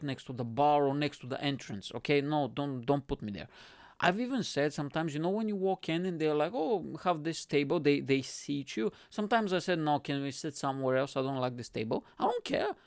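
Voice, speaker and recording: A man in his early thirties from Bulgaria, hosting his podcast with a lively, swearing, casual storytelling manner, recorded close on a stand mic in a small room at home. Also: on the high side, clean tone, dry recording. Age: 30s. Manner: animated, swearing, laughing, acts out quotes. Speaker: podcast host. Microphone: studio mic on stand close to mouth.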